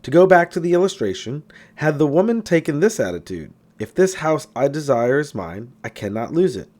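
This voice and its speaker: A man reading a spiritual self-help book aloud in a measured, emphatic, instructive way. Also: on the high side, sing-song, a little mellow. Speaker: audiobook reader.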